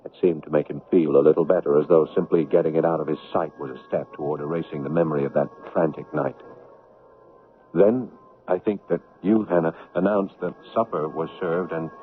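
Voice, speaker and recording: A man playing an earnest, self-righteous fiancé and son in a 1970s radio drama, measured, insistent and solemn. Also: rumbling low, soft background music. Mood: solemn, confessional. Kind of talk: 1970s radio drama acting and confessional narration. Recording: muffled, phone-like old radio broadcast.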